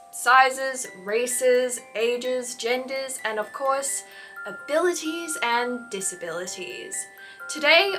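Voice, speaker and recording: A woman's voice: on the high side, bright, forceful tone, far from the mic. A woman hosting a scripted instructional video on writing, with articulate, emphatic phrasing. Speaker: host of fan fiction writing advice videos. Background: background music.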